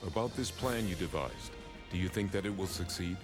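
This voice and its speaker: Determined loyal general played by a man, low voice, a bit distant, battle music behind. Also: fairly quick, close to an everyday voice. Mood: resolute. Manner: firm, earnest. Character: loyal, resolute male general. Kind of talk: video game cutscene acting. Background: game music score.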